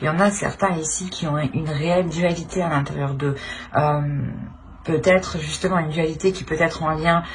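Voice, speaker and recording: A woman giving an informal tarot reading, speaking haltingly as she searches for words and often clears her throat. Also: sits low, fairly even intonation, slight background noise. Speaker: tarot reader.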